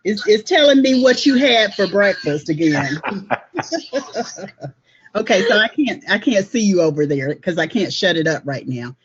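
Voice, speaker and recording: A woman's voice: medium pitch, animated, normal speed, a little hiss or hum. A woman hosting a casual online panel chat in an upbeat way, often saying "awesome.". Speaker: host. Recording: dull lo-fi web call audio.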